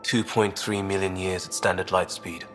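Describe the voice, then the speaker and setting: A man's voice: low voice, little pitch movement, moderate pace, a bit bright. Restless young British crewman. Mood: sentimental. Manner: clipped, informal phrases. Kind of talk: video game voice acting. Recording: game dialogue over faint music.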